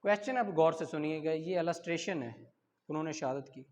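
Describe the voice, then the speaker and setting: A male teacher with a Pakistani accent and a notably high voice. He lectures in a didactic way, posing questions, answering them and repeating key terms. The recording carries a long, echoing reverb. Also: normal speed, darkish tone, highs cut off. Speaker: law teacher. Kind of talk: exam-prep lecture, reading questions and explaining.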